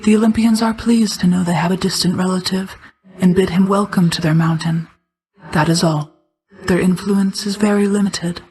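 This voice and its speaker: A woman voicing a solemn, regal night goddess and protective mother figure in a video game, speaking in a formal, measured, restrained way. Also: medium pitch, steady tone, darkish tone, natural voice, rough recording. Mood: stern, restrained. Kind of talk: scripted video game character dialogue.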